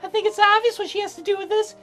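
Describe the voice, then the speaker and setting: Visual novel role: sickly teenage girl, insecure, blunt, very high voice, performed by a man. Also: fairly even intonation, very fast, soft background music. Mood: accusing. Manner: stammering when flustered, sharp and blunt. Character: frail fourteen-year-old girl patient, bitter and insecure.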